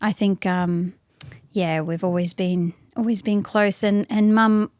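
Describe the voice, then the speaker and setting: An Australian woman, an interview guest, speaking thoughtfully in a casual conversation with many pauses and restarts. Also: medium pitch, steady tone, a little mellow, dry recording, studio quality.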